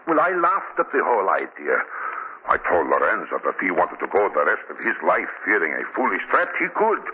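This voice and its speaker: An actor playing a nervous, defensive, scornful chemist in 1940s radio drama, agitated and emphatic. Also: male voice, sits low, wildly swooping pitch, normal speed, breathy edge, exaggerated voice. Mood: defensive, dismissive. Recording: muffled, noisy 1940s radio recording.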